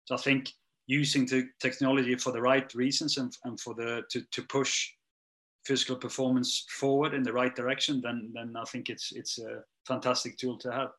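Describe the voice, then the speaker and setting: Hesitant non-native man, bright tone. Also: medium pitch, little pitch movement, normal speed. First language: not English. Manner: hesitant, many restarts and fillers, trailing off quietly. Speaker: strength and conditioning coach. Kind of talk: interview answers.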